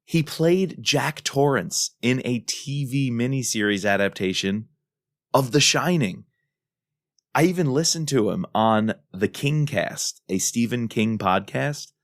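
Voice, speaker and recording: A man's voice: mid-range voice, lively intonation, a little slow, no room sound, clean studio sound. A man co-hosting a podcast in a casual, enthusiastic and emphatic way. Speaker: podcast co-host.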